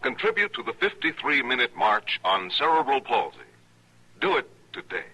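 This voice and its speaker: Announcer, male: very bright, projecting, husky-edged, lively inflection, earnest public service read on old radio. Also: medium pitch, rough recording. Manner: earnest, deliberate. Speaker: charity appeal announcer.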